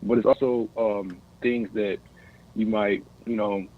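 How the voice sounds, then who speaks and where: A man's voice: on the low side, steady tone, a little slow. An American man calling in to a casual discussion, speaking hesitantly and searching for his words over a phone-like connection. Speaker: caller. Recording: tinny phone-like line.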